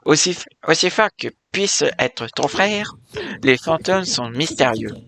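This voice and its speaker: A man reading aloud the lines of an elderly, eccentric male ghost-hunting scientist from a video game, in a halting, put-on accent. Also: fairly high pitch, lively intonation, vocal fry, sharp tone, exaggerated voice. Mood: instructive. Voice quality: halting reading of on-screen dialogue. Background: game music.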